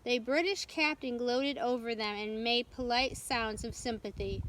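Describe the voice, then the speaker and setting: A woman's voice: on the high side, unhurried, fairly bright tone, rough recording. A woman storyteller reading a children's book aloud as scripted narration.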